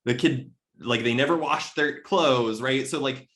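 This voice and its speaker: A man speaking as a podcast guest, discussing fiction casually in an emphatic, halting way. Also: medium pitch, fairly bright tone. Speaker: podcast guest, literary editor. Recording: roomy, echoey.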